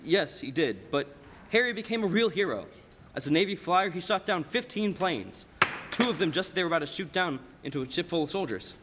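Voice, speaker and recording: A young man, a high school actor, playing a patient boss recounting a life story in a measured radio play style. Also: expressive, a bit bright. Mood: measured, storytelling. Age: young adult. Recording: hall reverb, faint noise, live stage.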